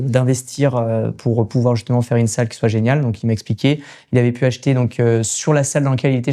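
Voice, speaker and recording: Man, rapid speech, soft dark tone, casual podcast storytelling, English loanwords. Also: medium pitch. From France. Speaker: podcast guest, gym equipment coach and reseller. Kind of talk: casual anecdotal interview chat.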